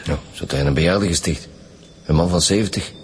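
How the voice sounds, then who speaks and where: A brusque Flemish homicide commissioner for a radio drama. His voice is very low and heavily rasped, with dry sarcasm. It rings with long hall reverb. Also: fast talker. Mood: dryly sardonic. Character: brusque, sardonic male homicide police commissioner.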